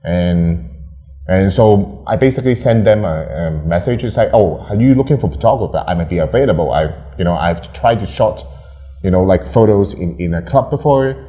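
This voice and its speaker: A man's voice: lowish voice, animated, a little fast, warm tone. A young man from Hong Kong, a photographer, chatting casually as an interview guest, rambling with lots of fillers and restarts. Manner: laughs often, rambling with many fillers and restarts.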